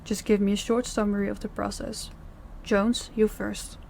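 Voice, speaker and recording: A woman's voice: mid-range voice, flat delivery, normal speed, creaky, a little mellow, a little hiss or hum, close to an everyday voice. A woman playing a stern crime boss in an audio roleplay, speaking in a commanding, businesslike way. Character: stern female crime boss running her department heads. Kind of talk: scripted audio roleplay acting.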